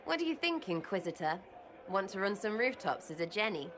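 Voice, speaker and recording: A woman's voice: medium pitch, very animated, a little fast, fairly bright tone, a faint crowd. An actress voicing a brash, irreverent young female elf rogue in a video game who talks in cheeky, blunt slang.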